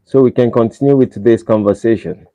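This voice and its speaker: A man's voice: medium pitch, fast talker. A man from Nigeria with a Nigerian accent, hosting a casual livestream and pleading with viewers for likes. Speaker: livestream host. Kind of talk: casual live-stream chat. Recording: dull lo-fi livestream audio.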